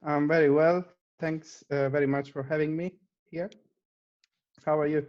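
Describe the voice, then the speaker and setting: A man's voice: fairly high pitch, little pitch movement, normal speed, a little mellow, lo-fi sound. A man from Italy, a native Italian speaker with an Italian accent, answering questions as an interview guest in a conversational style. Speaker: interview guest, data scientist.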